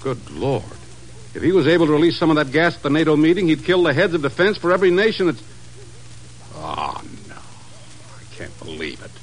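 A middle-aged man playing a sceptical, excitable intelligence chief in a 1950s radio drama, blustery and incredulous. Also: fairly high pitch, a little fast, poor audio. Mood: alarmed.

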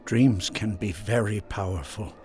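An actor playing a calm male doctor in a radio drama, questioning a troubled patient in a measured, restrained, coaxing way. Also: fairly low pitch, lightly acted. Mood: quiet probing. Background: faint music.

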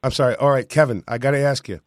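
A man from New York state hosting a live radio show, with a raspy voice and a cold, talking in a boastful, joking way. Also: mid-range voice, fast, no echo, studio quality. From New York state, USA. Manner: boisterous, boastful and jokey. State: has a cold. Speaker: radio host.

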